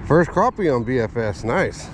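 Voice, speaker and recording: A man's voice: medium pitch, moderate pace. Direct, easygoing man fishing outdoors. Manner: easygoing, talks to himself and the camera, excited by catches. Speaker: fishing vlogger. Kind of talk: casual running commentary while fishing. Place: outdoors by a park pond. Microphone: chest- or head-mounted action camera. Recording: faint outdoor noise.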